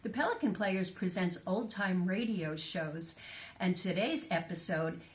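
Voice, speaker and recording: A woman's voice: on the low side, a little slow. A woman giving a scripted show introduction as a theater presenter. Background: faint music.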